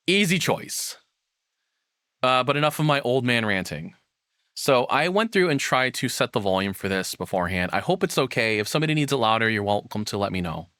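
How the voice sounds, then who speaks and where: A man's voice: fairly low pitch, sing-song, moderate pace, bright, forceful tone, no echo, clean studio sound. A man giving casual, rambling, opinionated livestream commentary with some swearing. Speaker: streamer reacting to a video.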